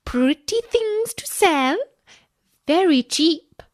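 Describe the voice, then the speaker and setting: A woman's voice: very high voice, animated, slow pace, no room sound, clean studio sound, pitched above the performer's own voice, full character voice. A woman voicing an old peddler woman in a children's storybook, calling out wares in a sing-song hawker's cry. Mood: wheedling.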